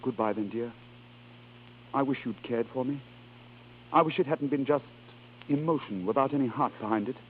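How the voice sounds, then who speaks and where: An actor playing a flustered, lovelorn estranged husband, hesitant and earnest, in 1930s radio drama style. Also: male voice, medium pitch, moderate pace, long pauses, lightly acted. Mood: pleading. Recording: scratchy, tinny 1930s radio recording.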